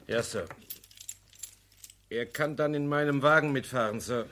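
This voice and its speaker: An actor playing a level-headed deputy in a radio crime drama, formal, earnest and persuasive. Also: male voice, mid-range voice, unhurried, fairly bright tone. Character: level-headed, dutiful male deputy, reasoning and deferential. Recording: old radio play, faint noise.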